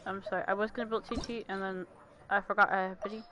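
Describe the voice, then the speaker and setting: A woman's voice: mid-range voice, fairly even intonation, fast talker, loud music behind the voice. A woman giving casual, informal gameplay commentary, thinking aloud as she plays. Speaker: gaming streamer. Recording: clearly noisy home recording.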